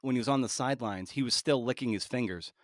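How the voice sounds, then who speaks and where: A man's voice: mid-range voice, fast talker, dry recording, studio quality. A man hosting a podcast interview in a casual, conversational way, feeling his way toward each phrase as he rambles.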